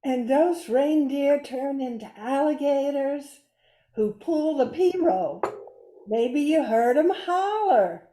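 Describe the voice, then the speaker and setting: Woman as high-voiced hospitable Cajun lady, echoey. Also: lively intonation, takes it slowly, mellow. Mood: storytelling. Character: hospitable Cajun bayou woman telling local Christmas lore. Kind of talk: amateur radio play acting. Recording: echoey room.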